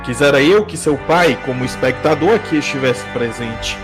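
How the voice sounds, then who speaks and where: A man's voice: medium pitch, animated, normal speed, natural voice. A man playing a huge, arrogant, cruel warrior leader in an audio drama, with a menacing, contemptuous manner. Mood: cruel contempt. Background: music.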